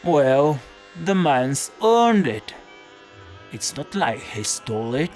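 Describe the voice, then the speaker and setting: An actor playing a wry, weary folk-hero detective in an audio drama, speaking in a measured, deliberate way. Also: male voice, mid-range voice, very animated, darkish tone. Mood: dry, curious. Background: music.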